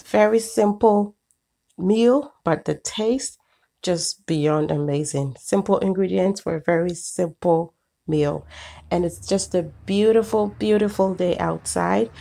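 Ghanaian woman with a low, gravelly voice. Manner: warm, chatty, explains each step. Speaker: cooking channel host. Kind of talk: cooking demonstration. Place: outdoor backyard patio at a grill. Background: sizzling pan, birds.

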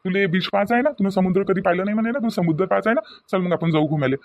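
A man's voice: sits high, rapid-fire, no room sound, dull audio. A man voicing a young newlywed husband in a cartoon, doting and a little impatient, coaxing insistently in a Varhadi Marathi accent from Vidarbha. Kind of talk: cartoon dialogue acting in colloquial dialect.

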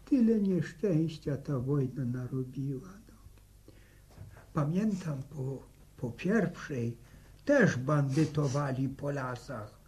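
An actor playing a gentle, rambling old village father-in-law in a 1960s Polish radio drama, muttering and repeating his words hesitantly. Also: medium pitch, expressive, slow. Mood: wistful, soothing. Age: older. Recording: noisy vintage radio recording.

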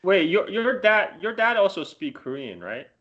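A man's voice: high voice, very animated, normal speed, fairly bright tone, lo-fi sound. A man hosting a podcast in casual chat, giving brief interjections and follow-up questions. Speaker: podcast host.

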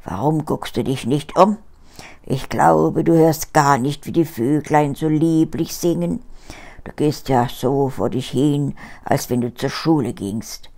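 A woman's voice: low-pitched, a little slow, warm tone, voice pushed down. An elderly woman voicing a sly, greedy male wolf in a fairy tale, coaxing and scheming.

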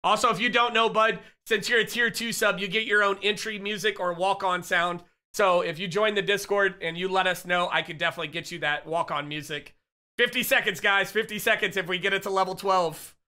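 A man in his thirties streaming from home on a headset, loudly greeting chat with excitable, profane shout-outs while he is losing his voice. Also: high voice, fairly quick. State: losing his voice, getting sick. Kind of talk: casual live-stream chat.

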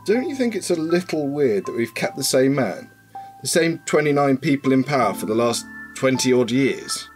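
A man's voice: mid-range voice, big pitch swings, moderate pace, over music, lightly acted. A man acting in a radio drama as an ordinary, uneasy husband, earnest and questioning. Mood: reflective, affectionate.

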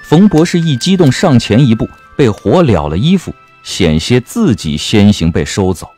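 A man's voice: medium pitch, very animated, normal speed, a little mellow. A man narrating a comic period novel as an audiobook, with a wry, theatrical delivery. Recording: music bed under the voice.

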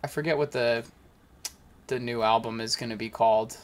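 A man's voice: medium pitch, fairly even intonation, moderate pace. A young man co-hosting a casual music reaction chat from a small home studio. He rambles loosely, leans on "like" and trails off while hedging his opinions. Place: small dim home studio room, indoors. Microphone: desk microphone, wearing headphones.

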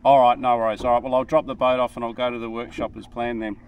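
A young Australian man in a relaxed, friendly casual chat, recorded outdoors on a small river boat by an action camera. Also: mid-range voice, fast talker. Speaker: fishing vlogger. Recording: distant mic, outdoor noise.

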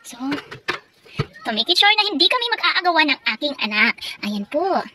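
Female Filipino unboxing vlogger: high, bright voice, chatty and enthusiastic, noisy home recording. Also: sing-song, moderate pace. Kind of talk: casual product unboxing vlog. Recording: home recording with background noise.

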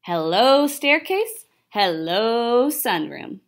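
A woman's voice: on the high side, very animated, extremely slow, heavily acted. A young woman voicing a cocky, chatty male pet hamster in a storybook, self-satisfied and asking and answering himself. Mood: gleeful.

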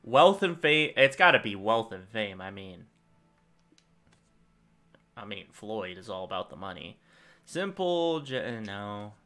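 Young man, gaming commentary, rambling, bright lowish voice, soft game music underneath. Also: slow, pauses often. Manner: rambling, thinking aloud, lively swings in loudness. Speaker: gaming channel host. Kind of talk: casual gameplay commentary. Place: small room at home.

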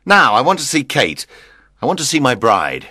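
A woman voicing a brash, carefree bridegroom in a storybook, loud, careless and demanding. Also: a bass voice, huge pitch swings, normal speed, dry recording, studio quality, voice pushed down, full character voice.

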